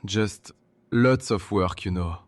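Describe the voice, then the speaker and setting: A man's voice: on the low side, slow pace, no echo. A man voicing a weary, evasive husband in an audio drama, subdued and reluctant. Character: weary, evasive husband hiding his troubled heart. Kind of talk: audiobook character dialogue.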